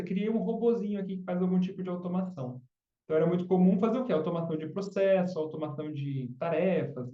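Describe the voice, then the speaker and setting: A man is being interviewed, explaining things step by step and often tacking a quick question onto the end of a line. His voice is fairly high but mellow and dark in tone. It comes from a little way back from the mic in a roomy space, and the sound is dull. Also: far from the mic. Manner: explains patiently, often adds tag questions. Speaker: podcast guest, chatbot specialist. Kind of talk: casual explanatory interview talk.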